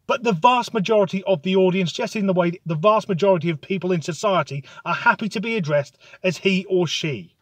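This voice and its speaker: A man delivering a sardonic, sweary comic rant in a deadpan tone. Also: high voice, fairly quick, hardly any pauses, dry recording. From United Kingdom. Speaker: comedic ranter.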